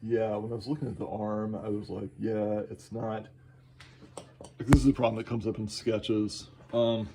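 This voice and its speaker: A man talking casually while drawing, halting and enthusiastic, with many fillers and restarts, moving around his studio. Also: on the low side, flat delivery, noisy background. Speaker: artist vlogging while drawing. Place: indoor studio, moves around the room.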